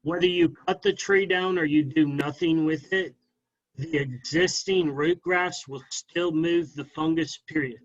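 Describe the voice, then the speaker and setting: A man's voice: a little high, fairly even intonation, measured pace, dull audio. A man, a guest arborist, answering questions at a club meeting in a firm, explanatory way, often checking in with "okay?".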